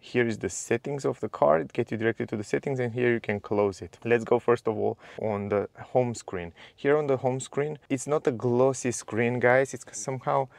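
A man's voice: mid-range voice, normal speed, creaky, few pauses, no echo. A man walking viewers through a car's dashboard and screen in a casual, chatty way, speaking as a with simple phrasing. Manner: chatty, addresses viewers as guys, simple non-native phrasing. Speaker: car reviewer. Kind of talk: casual walkthrough to camera. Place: inside a parked car.

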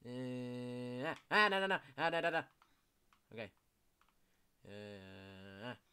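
A young man giving casual, excitable commentary while playing a video game, swearing often and yelling when chased. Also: medium pitch, takes it slowly, clear, resonant tone. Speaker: gameplay commentator. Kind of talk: casual live gameplay commentary.

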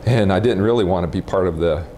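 A man in his forties from Texas with an American accent, recounting his life matter-of-factly and haltingly in an interview on a lapel mic. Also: sits low, mellow. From Texas, United States. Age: 40s. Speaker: interviewee, nuclear physicist. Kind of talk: informal oral history interview, recollecting. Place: room in a house, indoors. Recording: clip-on mic with faint background noise.

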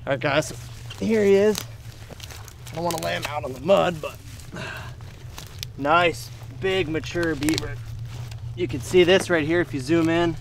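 A man's voice: on the high side, unhurried, vocal fry, pauses often. A young man hosting an outdoor trapping vlog, talking casually to the camera with laid-back slang and folksy exclamations. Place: outdoors by creeks and marshy fields. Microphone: camera-mounted shotgun mic. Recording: outdoor location sound with faint background noise.

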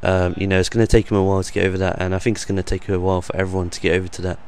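Young man, croaky deep voice, flat monotone delivery, rambling with ums, faint background hiss. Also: racing. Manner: rambling, hesitant, many ums and uhs. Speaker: gaming channel host. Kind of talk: off-the-cuff voice-over commentary.

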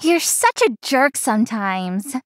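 Casting: actress for sweet, hardworking daughter in a romance visual novel, high voice, dry recording. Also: female voice, sing-song, slow, dry recording. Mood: playful. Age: young adult. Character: dutiful, gentle young working daughter, playful friend.

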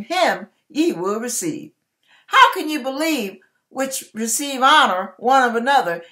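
A middle-aged woman reading Bible scripture aloud with deliberate, emphatic, dramatic phrasing. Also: mid-range voice, fairly bright tone. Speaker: online church minister reading scripture. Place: small indoor room with curtain.

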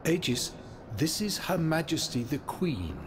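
Casting: authoritative aristocratic inventor in a game cutscene, formal, lively pitch, clear ambience. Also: male voice, unhurried, background noise. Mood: commanding. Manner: formal, instructive. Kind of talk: dramatic video game cutscene acting.

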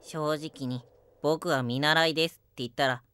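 A woman playing a cheeky young minstrel companion in a Japanese audio drama, lively, teasing and encouraging. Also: low-pitched, moderate pace, clear, resonant tone.

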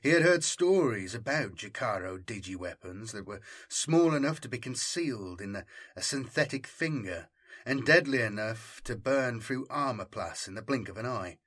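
A man's voice: mid-range voice, expressive, moderate pace, a bit bright, slightly rough. A man reading a science fiction audiobook in dramatic scripted narration.

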